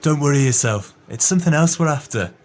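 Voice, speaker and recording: A man's voice: a little high, lively intonation, a little fast, lightly acted. An actor playing a greedy, sly male swindler in an audio drama, with pompous, wordy, formal speech.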